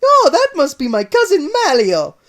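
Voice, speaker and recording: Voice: high voice, huge pitch swings, moderate pace, fairly bright tone, voice pushed up, full character voice. A gleeful Italian plumber. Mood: excited. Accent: put-on Italian. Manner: giddy, exclaiming, sing-song. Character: overjoyed cartoonish male Italian plumber. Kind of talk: comedic fan fiction reading.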